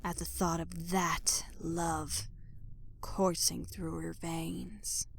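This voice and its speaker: A woman reads a dramatic scripted story as narrator, letting phrases fall into a hushed breath. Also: fairly low pitch, little pitch movement, very slow, gravelly. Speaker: narrator of a fan fiction audio production. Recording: background music under voice. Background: music.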